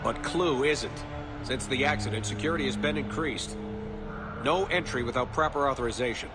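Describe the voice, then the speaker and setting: A man's voice: medium pitch, normal speed, over loud music, lightly acted. An actor playing a stern male security guard program in a game cutscene, speaking curtly. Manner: curt, officious. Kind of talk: video game cutscene acting.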